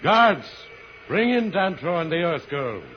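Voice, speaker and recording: A volatile, gloating space tyrant in a vintage radio drama. His delivery is theatrical and menacing, swinging widely in pitch. The recording is muffled and heavily hissy. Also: big pitch swings, unhurried. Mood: gloating menace. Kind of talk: old-time radio serial acting.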